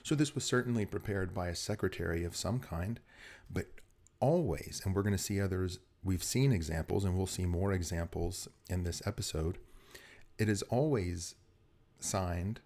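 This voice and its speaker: Low-voiced man hosting with a scratchy voice. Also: normal speed, a little mellow. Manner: chatty, explanatory, occasional small laughs. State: scratchy voice from weather change. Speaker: host. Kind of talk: casual video presentation.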